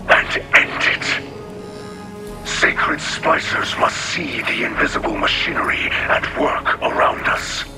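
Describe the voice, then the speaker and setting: A man performs a solemn alien splicer from a video game. The voice is a breathy rasp at middle pitch, slow and halting, with formal, clipped phrases. Music plays clearly behind it. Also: close to an everyday voice, rough recording. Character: solemn alien insectoid splicer leader, male. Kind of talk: video game cutscene acting.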